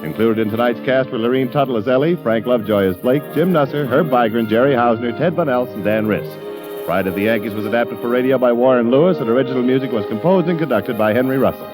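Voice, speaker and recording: A man's voice: medium pitch, quick pace, music in the background, poor audio. A man working as the announcer of a 1940s radio broadcast, giving a formal, polished sponsor read. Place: radio studio.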